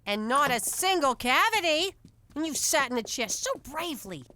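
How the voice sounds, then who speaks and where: A woman's voice: sits high, very animated, moderate pace, pushed and bright, no room sound, full character voice. A woman voicing a sweet, coddling mother fussing over her kids. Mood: doting. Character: doting, fussing suburban mother.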